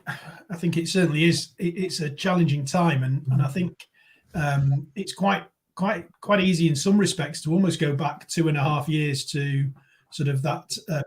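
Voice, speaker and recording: A man's voice: fairly high pitch, darkish tone. A man talking as a guest consultant on a video call, thoughtful and repeating words as he works through his ideas. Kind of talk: conversational discussion.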